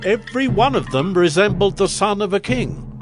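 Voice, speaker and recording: An actor playing a proud, defiant captive desert king in a scripture audio drama, with deliberate, declamatory delivery. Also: high voice, animated, music in the background.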